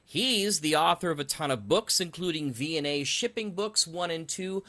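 A man's voice: on the high side, moderate pace, sharp tone. A man hosting an interview podcast, introducing guests and putting questions to them. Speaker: interview host.

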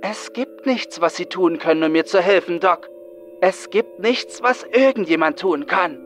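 A man's voice: sits high, measured pace, a bit bright, faint music behind, voice pushed up. Man acting a haunted, frightened young psychiatric patient. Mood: bitter, afraid. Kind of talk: horror audiobook acting.

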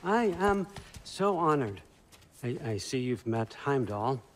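A man's voice: medium pitch, sing-song, slow, slight background noise. Give me a sly old god-king. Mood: genial, teasing. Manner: wry, casually authoritative. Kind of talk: video game character acting.

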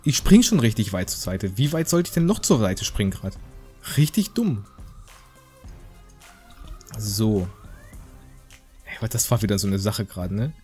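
A man's voice: fairly low pitch, sing-song, unhurried, pauses often, darkish tone, faint music behind. A man giving casual, joking game commentary in a rambling, slangy, mock-indignant way. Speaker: gaming video host.

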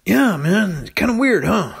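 A man's voice: high-pitched, big pitch swings, moderate pace, vocal fry, slightly rough. A man chatting casually and comically as a podcast storyteller, laid-back and hesitant. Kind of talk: casual comedic chat.

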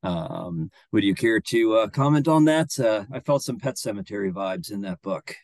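Halting, casual host, dry sound. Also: male voice, lowish voice, animated, a little mellow, no room sound. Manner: casual, filler-heavy, halting. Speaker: host of a reading event. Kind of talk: hosting a live online reading Q and A. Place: home office with bookshelves. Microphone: video call webcam.

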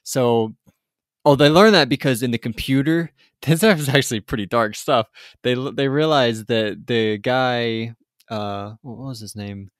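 A man's voice: medium pitch, measured pace, no room sound, clean studio sound. A man co-hosting a podcast, chatting casually through an episode recap with lots of hesitant restarts. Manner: rambling, hesitant, many restarts. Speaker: podcast co-host.

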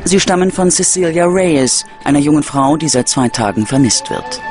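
A man's voice: fairly high pitch, expressive, moderate pace. A man narrating a true-crime documentary from a script in a dramatic, suspenseful manner. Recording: prominent music under the voice. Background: music.

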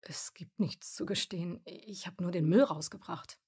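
A woman's voice: lowish voice, moderate pace, darkish tone, husky. A woman acting an insistent, urgent female character in a radio play. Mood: anxious, protesting.

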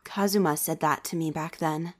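A woman playing a cheerful, nosy high-school teenager with hidden worries in a manga dub, with a husky voice. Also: fairly even intonation, moderate pace, darkish tone. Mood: subdued, anxious.

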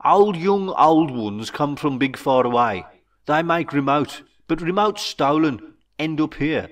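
A man voicing an old, wise alien chief in a storybook, with a Brummy accent and slow, broken, clipped English. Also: fairly high pitch, lively intonation, a bit bright, far from the mic, voice pushed up. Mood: solemn explaining. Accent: Brummy (Birmingham). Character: old wise alien chief, small orange hopping creature. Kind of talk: storybook character voice.

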